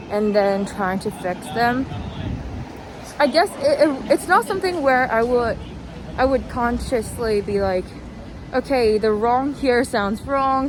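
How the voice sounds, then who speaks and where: A young woman chatting casually as she walks, self-deprecating, wandering off on tangents and trailing off. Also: mid-range voice. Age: young adult. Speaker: vlogger. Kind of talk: casual rambling vlog while walking. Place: outdoors on a walk in a city. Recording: noisy outdoor recording with traffic.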